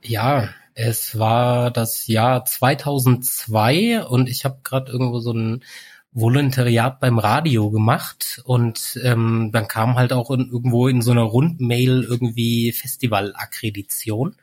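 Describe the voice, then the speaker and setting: A man in his forties telling stories in a relaxed, rambling way, full of fillers, as a guest in a casual chat. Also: mid-range voice, measured pace. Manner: relaxed storyteller, many fillers like "halt" and "irgendwie". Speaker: podcast guest.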